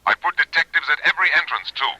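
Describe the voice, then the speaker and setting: Male for an anxious impresario: animated, piercing, dry telephone sound, radio play. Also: on the high side, fast, no room sound, dull audio, exaggerated voice. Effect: telephone filter. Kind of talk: old-time radio drama acting.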